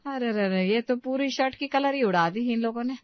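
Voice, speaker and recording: A woman's voice: fairly high pitch, moderate pace, no echo, highs cut off, lightly acted. An actress playing an older, thrifty, scolding mother-in-law in a cartoon story. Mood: complaining.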